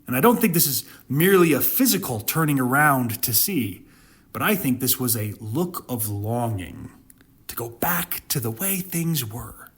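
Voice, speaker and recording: A man narrates scripture and explains it in the manner of a dramatic sermon. His voice sits at a middling pitch with a somewhat husky edge. He is emphatic and pauses deliberately for weight. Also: sing-song, a bit bright. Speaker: Bible commentator and narrator. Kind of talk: dramatic scripture reading with interpretive commentary.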